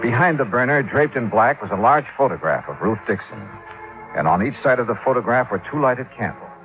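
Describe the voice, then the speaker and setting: Actor as a lively-pitched 1950s radio detective. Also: male voice, brisk, lightly acted. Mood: brisk, matter-of-fact. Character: male insurance investigator, wry, businesslike, polite. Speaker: lead actor and narrator. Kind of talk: 1950s radio detective drama, narrated and acted. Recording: tinny old radio recording with music.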